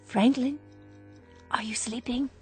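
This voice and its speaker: Casting: devoted, fretful wife, high-pitched, slow imploring delivery, radio play. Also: female voice, steady tone, soft background music. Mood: anxious coaxing. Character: anxious, pleading, devoted wife. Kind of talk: radio drama acting.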